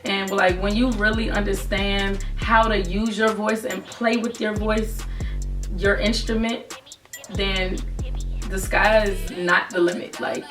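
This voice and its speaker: A young woman speaks with a naturally raspy tone, sharing advice in an easygoing, earnest way. She sits a little back from the microphone. Soft music plays faintly underneath. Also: medium pitch, level tone, slow, far from the mic. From Virginia, United States. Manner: casual, earnest advice talk. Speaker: rapper and vlogger.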